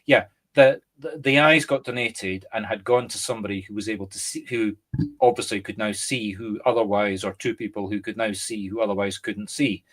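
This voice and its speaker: A Scottish man, a medium and co-host, chatting casually and explaining through lively examples. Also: fairly low pitch, brisk. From Scotland. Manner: animated, explains through examples and acted-out quotes. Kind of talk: casual conversation.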